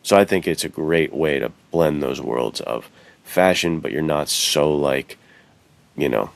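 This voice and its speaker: A man's voice: very deep voice, a little slow, creaky. A man chatting casually as a podcast co-host, with a slangy, bro-like way of talking.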